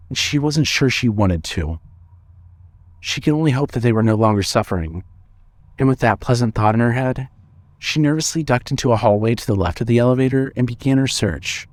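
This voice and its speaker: A man narrating a scripted horror audio drama in a measured, deliberate storytelling manner. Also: mid-range voice, a little mellow, no echo.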